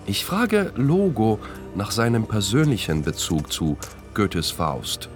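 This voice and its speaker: Low, lively confessional narrator. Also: male voice, takes it slowly, full character voice. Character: self-doubting impostor director confessing his schemes. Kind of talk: scripted first-person radio play narration. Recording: music under narration.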